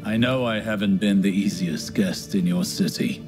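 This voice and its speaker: An actor playing a learned older scholar-warrior in a video game, speaking in a grave, formal, pensive way. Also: male voice, on the low side, normal speed, over music, natural voice. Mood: apologetic. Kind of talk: video game cutscene acting.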